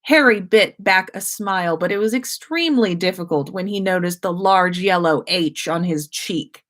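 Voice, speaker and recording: A woman's voice: on the low side, moderate pace, fairly bright tone. A woman host narrating a story expressively, as if reading aloud to listeners on a livestream.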